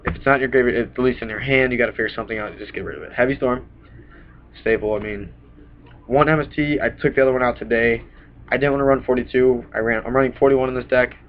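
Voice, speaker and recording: A man's voice: mid-range voice, fairly even intonation, quick pace, a little hiss or hum. Young man, getting over a cold, chatty and rambling, muffled lo-fi webcam sound, small indoor room. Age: young adult. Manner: chatty, rambling, punctuates with "bam". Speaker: card game vlogger. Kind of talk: casual deck walkthrough. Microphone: webcam at desk. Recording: dull lo-fi webcam audio.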